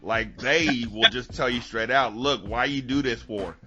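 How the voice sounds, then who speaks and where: Bright-voiced man chatting on a podcast. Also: mid-range voice, measured pace, highs cut off, soft background music. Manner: profane, joking, storytelling. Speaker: podcast host. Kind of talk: animated casual podcast chat.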